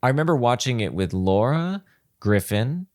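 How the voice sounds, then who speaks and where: A man's voice: medium pitch, big pitch swings, moderate pace. A man hosting a podcast in a casual chat, rambling and chatty, full of fillers. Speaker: podcast host.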